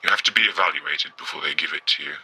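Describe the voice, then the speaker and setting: An actor playing a bitter, worn-out former test subject giving a guarded, halting interview in an audio drama, husky and rough. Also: male voice, a bass voice, background noise. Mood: bitter, weary.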